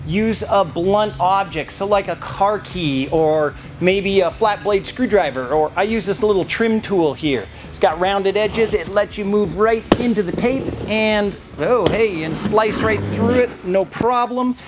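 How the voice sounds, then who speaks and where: A man in his forties in a garage workshop, explaining a rooftop tent install step by step in a relaxed, practical way. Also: high voice, a little slow, few pauses, a little hiss or hum. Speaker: off-road shop installer. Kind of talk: how-to install demonstration.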